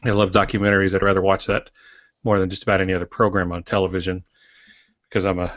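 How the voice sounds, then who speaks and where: A man in his sixties co-hosting a casual podcast over an online call, explaining things in a wry, measured way. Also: low voice, flat delivery, a bit bright, no room sound. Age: 60s. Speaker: podcast co-host and writer. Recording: dull, dry, lo-fi online call audio.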